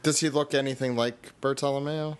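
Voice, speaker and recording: A man's voice: medium pitch, steady tone, a little fast, clear, resonant tone. Podcast host, male, mid-range voice, easygoing moderator. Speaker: podcast host reading listener questions. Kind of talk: casual chat.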